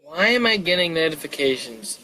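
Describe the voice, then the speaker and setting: A man's voice: a little high, big pitch swings, brisk, bright, forceful tone, low-quality recording. A man chatting casually on a livestream, rambling and muttering to himself as he goes. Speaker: live streamer.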